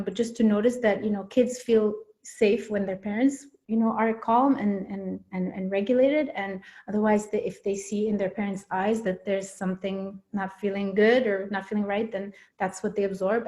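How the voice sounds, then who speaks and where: A woman's voice: medium pitch, fairly even intonation, moderate pace, mellow. A woman from Morocco working as a parent coach, speaking thoughtfully in a casual interview with frequent fillers and repeated words.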